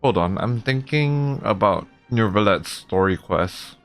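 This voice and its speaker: A man's voice: on the low side, expressive, a little slow, faint music behind. A man streaming a game with casual, reactive, rambling commentary. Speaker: gaming streamer.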